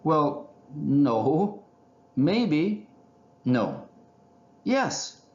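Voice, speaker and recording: A man voicing a naive, timid but excitable young male raccoon from a children's storybook, with a whiny manner. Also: on the high side, very slow, roomy sound, highs cut off, voice pushed up, heavily acted. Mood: hesitant, alarmed. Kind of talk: children's storybook character reading.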